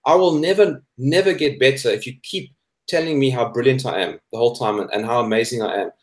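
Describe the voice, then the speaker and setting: Man, South African accent, thirties, emphatic debater, mid-pitched voice, roomy echo. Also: fast talker. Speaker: guest, cartoonist. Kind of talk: opinionated debate chat. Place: indoor room, video call. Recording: echoey room over video call.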